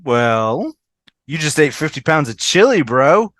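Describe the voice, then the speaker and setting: Casting: actress as sardonic, bossy young woman in a sitcom, very animated pitch, dry sound. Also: measured pace, no room sound. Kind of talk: fan table read of a TV sitcom.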